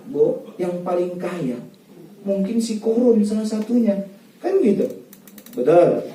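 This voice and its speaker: A man from Medan giving a lively, joking wedding sermon, talking straight to the guests. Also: sits high. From Medan, North Sumatra. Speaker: preacher giving wedding advice. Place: wedding venue hall. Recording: echoey hall, voice from far off.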